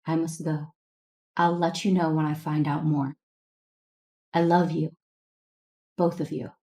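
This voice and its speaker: Woman as gentle jedi lady consoling family, slow and mellow, kinda sad. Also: low-pitched, little pitch movement. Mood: tender consoling. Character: gentle, consoling female Jedi relative, sorrowful. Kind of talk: sci-fi audio drama acting.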